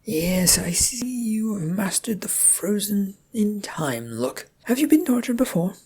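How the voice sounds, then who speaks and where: A sadistic storybook torturer , acted out by a narrator, gleeful and chatty. The voice is pitched up and husky, with a taunting, mock-polite menace. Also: big pitch swings, measured pace, darkish tone, exaggerated voice.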